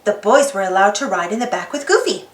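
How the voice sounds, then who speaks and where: A woman reading a children's storybook aloud in an animated narrating style. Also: medium pitch, quick pace. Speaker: storybook reader. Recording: roomy, slightly distant mic.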